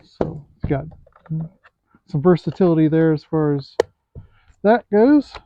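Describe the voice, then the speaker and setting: A man giving a casual, unscripted product review in a hesitant, pausing way as he looks things over. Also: a little high, expressive. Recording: thin, phone-like, band-limited sound.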